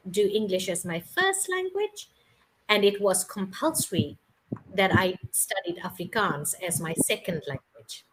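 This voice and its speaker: A woman's voice: medium pitch, a bit bright, low-quality recording. A South African woman tutoring English on a video call, explaining patiently and checking that she is understood. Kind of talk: casual video-call conversation.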